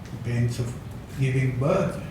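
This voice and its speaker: An older man lectures at a slow, unhurried pace, pausing often on fillers and breaking into small chuckles. His voice comes from a distance in a very echoey hall with a steady noisy rumble behind it. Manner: hesitant, many fillers, chuckles. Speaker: academic speaker. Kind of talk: conference talk.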